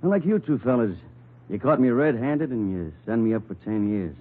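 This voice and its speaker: A man's voice: medium pitch, very animated, normal speed, husky. An actor playing a sour American ex-convict in a radio crime drama, insinuating and falsely friendly over his resentment. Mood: veiled bitterness. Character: sour ex-convict, smooth on top, bitter underneath. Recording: muffled old radio broadcast.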